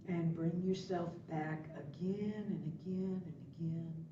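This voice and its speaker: A woman instructor leading a guided meditation, giving instructions in short phrases with long pauses between them. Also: fairly low pitch, steady tone. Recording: roomy, distant, dull, faintly noisy.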